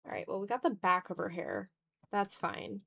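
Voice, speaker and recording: A woman's voice: mid-range voice, normal speed, gravelly, sharp tone. A tired woman chatting casually on an art livestream while she draws, self-deprecating and often trailing off into muttering to herself.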